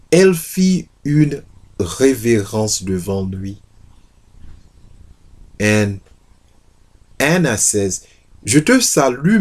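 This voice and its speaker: Slow, expressive man reading a novel. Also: mid-range voice. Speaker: reader of a novel excerpt. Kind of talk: literary reading aloud with brief English asides.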